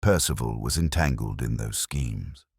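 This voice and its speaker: Man acting as a composed Victorian sleuth, extremely low voice, animated swoops, theatrical, precise, storybook detective. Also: unhurried, creaky, husky, dry recording, studio quality, heavily acted. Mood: composed, lecturing. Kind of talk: audiobook detective story dialogue.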